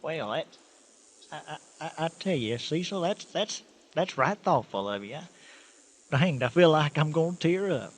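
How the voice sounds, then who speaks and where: An actor playing a folksy, excitable country manure hauler with a Southern US accent, stammering and exclaiming in a radio comedy. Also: male voice, on the high side, unhurried. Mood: touched, folksy. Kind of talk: old-time radio comedy acting.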